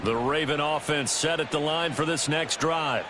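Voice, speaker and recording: A man's voice: fairly high pitch, normal speed, noisy background, close to an everyday voice. A man playing an American play-by-play announcer in a football video game, with a polished, punchy broadcast delivery. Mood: steady, informative.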